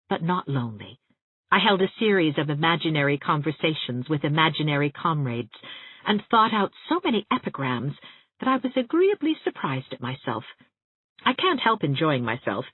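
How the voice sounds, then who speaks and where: Woman narrator as young female teacher, affectionate, whimsical audiobook letters, breathy low-toned voice, very lively intonation, muffled recording with room echo. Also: exaggerated voice. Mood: chatty, contented. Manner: expressive, whimsical. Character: spirited, affectionate young schoolteacher writing letters. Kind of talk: audiobook reading of first-person letters. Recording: boxy room echo, muffled narrow-band sound.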